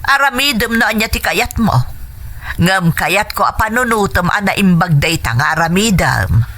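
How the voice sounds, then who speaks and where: A woman's voice: medium pitch, lively intonation, moderate pace, a bit bright. A woman playing a worried, tender middle-aged mother in a radio drama, with an Ilocano Filipino accent. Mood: resigned, concerned. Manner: tender, concerned. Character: worried, tender widowed mother of a grown son.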